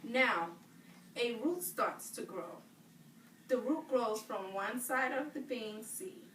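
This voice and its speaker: A woman's voice: a little high, expressive, slow, fairly bright tone. Female teacher for a children's read-aloud lesson, prompting with questions; roomy, far-from-mic sound. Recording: roomy, distant mic, clear background noise.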